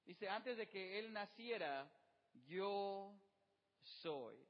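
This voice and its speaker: A man's voice: high voice, animated, very slow, big-room sound, muffled recording. A man preaching a sermon as pastor, asking the congregation rhetorical questions and often using fillers.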